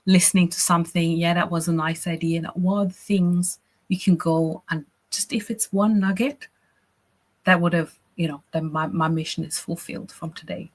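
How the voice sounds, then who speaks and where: Chatty encouraging woman from Austria, slightly low. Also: fairly even intonation, moderate pace. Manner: chatty and encouraging, frequent "right?" and "you know". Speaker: business coach running a workshop. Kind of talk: casual live workshop teaching.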